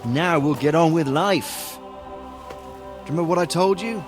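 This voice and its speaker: A man's voice: on the high side, measured pace, music in the background, natural voice. A man playing a fond, teasing, worldly older mentor in a medieval video game cutscene. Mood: wistful, reassuring.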